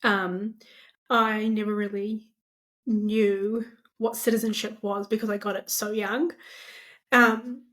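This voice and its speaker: A woman's voice: medium pitch, little pitch movement, takes it slowly, fairly bright tone. A young woman with a New Zealand accent talking casually and candidly on a podcast, reflecting as she goes. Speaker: podcast co-host. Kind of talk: casual conversational podcast talk.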